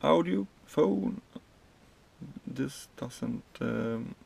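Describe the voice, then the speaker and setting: A man in his thirties from Sweden, a truck driver giving a casual tour of his cab, explaining the controls in a halting way with many fillers and restarts. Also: mid-range voice, animated, vocal fry, a little mellow, husky.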